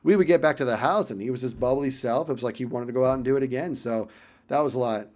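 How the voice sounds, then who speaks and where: A man casually telling a personal story in vlog style, animated and emphatic, often repeating phrases for effect. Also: medium pitch, quick pace.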